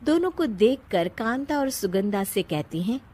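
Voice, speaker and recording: Unhurried, higher-pitched woman storyteller. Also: big pitch swings, darkish tone. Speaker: narrator. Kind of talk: scripted moral story narration.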